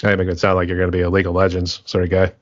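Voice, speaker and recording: A man's voice: sits low, monotone, racing, dry recording, lo-fi sound. An American man hosting a podcast, asking loose, rambling questions in a casual chat. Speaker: podcast host.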